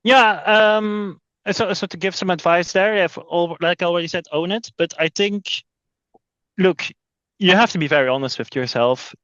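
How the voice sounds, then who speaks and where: A man's voice: sits high, moderate pace, a bit bright, no room sound, dull audio. A man from Belgium, a sales executive talking as a podcast guest, often repeating words as he restarts his sentences. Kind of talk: casual interview talk.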